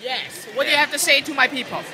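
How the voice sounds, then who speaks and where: A man's voice: extremely high pitch, fast talker, music in the background. A young man vlogging to a handheld camera, talking in an exaggerated put-on comic accent with a brash, joking manner. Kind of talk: comedic vlog talk to camera. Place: indoors, small room then convention hall. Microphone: phone or webcam at arm's length.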